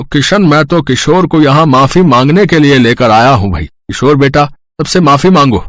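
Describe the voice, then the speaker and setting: A man voicing a middle-aged, fair-minded village headman and father in an animated moral story, measured and admonishing. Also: on the high side, no echo, clean studio sound.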